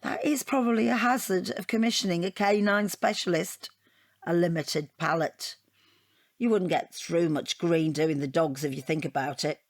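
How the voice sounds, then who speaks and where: Wry, rambling wounded wife. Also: female voice, lowish voice, normal speed. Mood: deadpan. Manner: wry, digressive, deadpan. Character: wounded, rambling wife dissecting her unwanted portrait gift. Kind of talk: audio drama monologue and dialogue.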